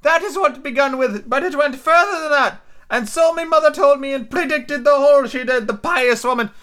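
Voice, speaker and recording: A man doing a cracked high old castaway. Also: normal speed, sharp tone. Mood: excited. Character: half-crazed old male castaway, eager and sly. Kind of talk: amateur read-aloud character voice.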